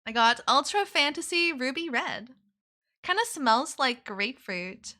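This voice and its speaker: Brief: bubbly woman streamer, high and bright, slight sore throat, casual giggly chat. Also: big pitch swings, a little slow. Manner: bubbly, giggly, reads out viewer thanks. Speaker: VTuber streamer.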